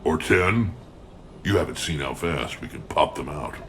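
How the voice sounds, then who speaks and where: An actor playing a gruff, proud alien warrior leader in a video game, blunt and commanding. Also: male voice, a bass voice, lively intonation, moderate pace, a bit bright, a little hiss or hum, heavily acted.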